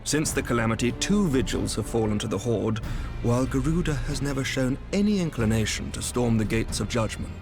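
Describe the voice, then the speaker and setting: A man performs a courtly knight commander for a fantasy video game. His voice sits at a middling pitch. He is measured, formal and shrewd, with music playing behind him. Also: lively intonation, lightly acted. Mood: measured, diplomatic. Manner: formal, measured, archaic diction. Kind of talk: scripted fantasy game dialogue.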